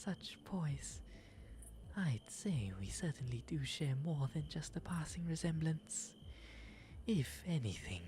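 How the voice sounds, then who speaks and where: Refined owl demon gentleman, played by a man, intimate ASMR roleplay: soft breathy delivery, unhurried and eloquent, noticeable background noise. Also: a little high. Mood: amused, playful. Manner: genteel, eloquent, unhurried phrasing.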